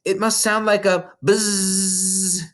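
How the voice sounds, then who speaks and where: An adult reader voicing a bright, eager little girl in a storybook, earnest and excitable. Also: mid-range voice, slow pace, pitched above the performer's own voice. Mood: eager, curious. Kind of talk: character voice in storybook reading.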